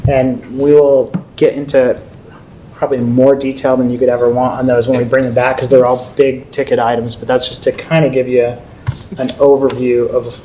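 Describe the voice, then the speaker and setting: Man giving a measured council briefing, mellow tone. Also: medium pitch, flat delivery, a little hiss or hum, poor audio. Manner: measured, explanatory, with frequent ums. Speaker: city water staff presenter.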